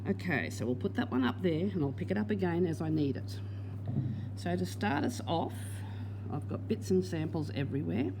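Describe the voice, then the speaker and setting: A woman teaching crochet step by step, patient and plain, her voice going hoarse. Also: on the low side, animated, normal speed, noisy background. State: losing her voice. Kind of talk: crochet tutorial.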